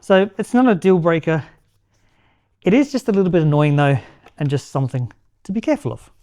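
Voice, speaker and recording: A man with a slightly high voice walks through a gadget review in a chatty, easygoing way. He sounds upbeat and relaxed as he explains each feature. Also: a little fast. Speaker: tech reviewer. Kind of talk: product review walkthrough.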